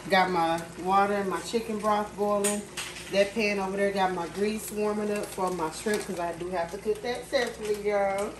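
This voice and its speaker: A woman's voice: medium pitch, normal speed. A woman casually talking viewers through her cooking in a home kitchen, filmed on a phone over the stove. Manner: relaxed, chatty, addresses viewers as y'all. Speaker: home cook vlogger. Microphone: phone camera filming over the stove. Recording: echoey kitchen with cooking noise. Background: sizzling pans and clattering pots.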